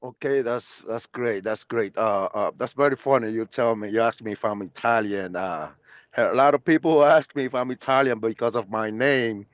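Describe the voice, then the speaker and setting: A man's voice: mid-range voice, moderate pace, dry recording, dull audio. A man with a Brazilian Portuguese accent, Portuguese his first language, asking questions on an online call with lots of hesitation. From Puerto Rico. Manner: hesitant, many filler sounds. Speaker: prospective broker asking questions.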